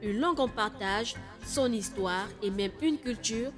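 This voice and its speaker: Woman reading a radio promo over music. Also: on the high side. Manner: measured, with echoed repeats of key words. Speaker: station jingle announcer.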